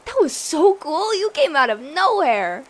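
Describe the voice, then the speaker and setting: A woman voicing an upbeat, excitable young female mutant lizard, a chatty idealist, in a comic dub. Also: very high-pitched, unhurried, exaggerated voice. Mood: awed, excited.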